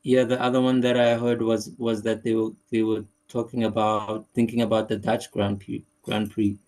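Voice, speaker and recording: A man's voice: on the low side, little pitch movement, normal speed, a little mellow, rough recording. Hesitant podcast co-host, a man. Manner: hesitant, lots of filler and agreeing. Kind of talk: casual chat.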